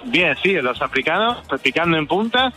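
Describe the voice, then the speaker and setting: A man's voice: a little high, big pitch swings, moderate pace, sharp tone. A man reporting live for radio from a community center, talking fluently and descriptively and staying polite with the people he interviews. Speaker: field reporter. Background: music playing in the background.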